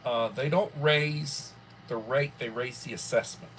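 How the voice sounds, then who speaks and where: A man in his early sixties hosting a casual livestream from home, rambling and filling pauses often. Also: medium pitch, a bit bright, a little hiss or hum. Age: 60s. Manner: rambling, with many fillers. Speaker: livestream host.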